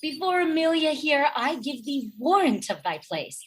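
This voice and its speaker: A woman playing an earnest, affectionate, persistent noble wife in a Shakespearean radio play, expressive and pleading. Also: high-pitched, normal speed. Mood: reassuring, earnest.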